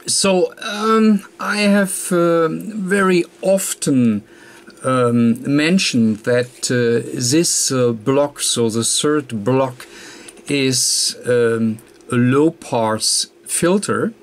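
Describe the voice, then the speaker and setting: A man presenting an electronics tutorial, explaining off the cuff in a halting, hesitant way with frequent pauses mid-sentence. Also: medium pitch. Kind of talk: unscripted technical explanation. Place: indoor workbench, hands and papers filmed.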